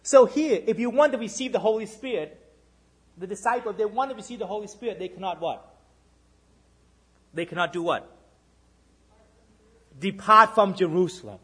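A man with a Boston accent delivers a sermon in a slightly husky, higher-than-usual voice. He keeps asking his congregation "right?" and swings between emphatic lifts and quiet, dropped repetitions. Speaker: minister.

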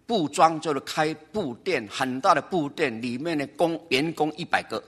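A man, a church elder, giving a testimony in short phrases and pausing so an interpreter can follow. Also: fairly high pitch, fairly bright tone. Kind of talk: testimony in Mandarin with pauses for interpretation.

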